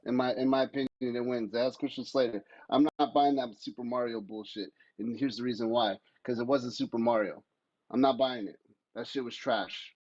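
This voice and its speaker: A man's voice: medium pitch, fairly even intonation, a little fast, darkish tone, no room sound, muffled recording. A man giving casual, blunt and emphatic commentary as a podcast panelist, swearing freely.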